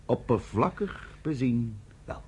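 A condescending British officer in a radio drama. His raspy voice rises and falls widely in pitch, and he delivers every line with a smug, mocking air. The overall effect is arrogant and superior. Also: expressive, slow pace, heavily acted.

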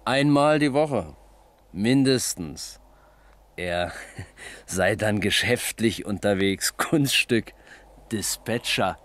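An actor playing a persistent, exasperated police detective in a radio crime play, probing and cutting in with questions. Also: male voice, fairly low pitch, lively intonation, takes it slowly, long pauses, fairly bright tone. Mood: matter-of-fact. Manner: probing, interrupting. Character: persistent, exasperated male police detective, father of a small child.

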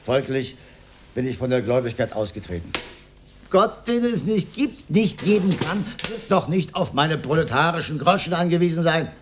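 An actor playing a rambling, pedantic old socialist freethinker with an Austrian accent, in a theatrical radio drama style. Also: male voice, on the high side, sing-song, moderate pace, noisy background. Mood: proud, indignant. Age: older. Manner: rhetorical, declamatory, given to rambling reminiscence.

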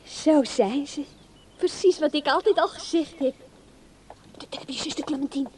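An actress playing a nosy, know-it-all gossip in a radio play. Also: female voice, sits high, normal speed, darkish tone, close to an everyday voice. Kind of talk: Dutch radio play acting. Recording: noisy old radio drama recording.

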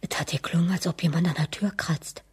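A woman playing a frightened, distraught wife in a radio drama, with a breathy, husky voice. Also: on the low side, fairly even intonation, fairly quick, a little mellow, whispered. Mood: anxious. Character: frightened, distraught wife hiding in her flat. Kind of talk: German radio drama acting.

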